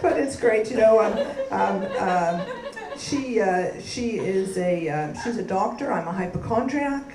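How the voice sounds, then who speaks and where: A woman from Canada doing a stand-up comedy set, delivering deadpan punchlines and bantering with the crowd on a club stage. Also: medium pitch, measured pace. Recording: roomy live club sound from a distance with crowd laughter.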